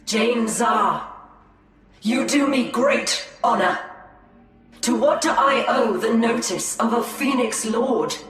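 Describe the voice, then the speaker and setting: A proud alien seeress and assassin, cool and guarded, with a fairly high voice. She speaks formally in an echoing space over clear music. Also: slow pace. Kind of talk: dramatic game cinematic acting. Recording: echoey hall, music behind.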